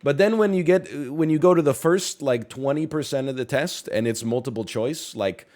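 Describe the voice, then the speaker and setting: A man's voice: a little high, normal speed, a little mellow. A young man from Canada chatting casually on a livestream, slightly congested, rambling and correcting himself with jokey asides. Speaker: streamer. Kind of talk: casual chat.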